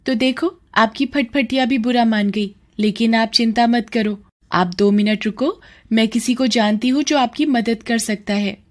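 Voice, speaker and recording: A woman's voice: a little high, unhurried, natural voice. A woman voicing an earnest, poor little vegetable-seller girl in a story, childlike, polite and pleading. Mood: chirpy, helpful. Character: earnest young poor girl selling vegetables, cheerful but tearful.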